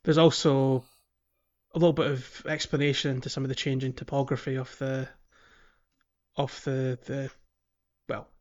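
A man co-hosts a casual gaming podcast. His voice sits at an ordinary middle pitch, and he explains things in a rambling, hesitant way. The recording is slightly dull and lo-fi. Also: little pitch movement, moderate pace, pauses often, a little mellow. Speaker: podcast host.

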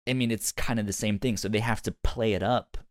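A man's voice: on the low side, fairly even intonation, brisk, no echo, studio quality. A man co-hosting a review podcast in casual conversation, rambling and often repeating words as he goes. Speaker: podcast co-host.

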